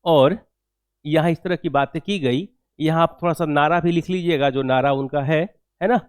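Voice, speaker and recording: A man's voice: a little high, normal speed, clean tone, no room sound, clean studio sound. A man teaching history for exam coaching, lecturing didactically, repeating key phrases and asking rhetorical check questions. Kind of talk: classroom lecture with dictated answer points.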